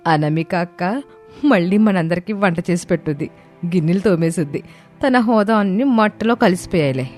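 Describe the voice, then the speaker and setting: A woman's voice: on the low side, normal speed, a little mellow, lightly acted. A woman voicing a mocking, gleeful young sister-in-law in a moral story, over music. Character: gloating young sister-in-law plotting a vlog. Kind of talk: acted moral-story dialogue.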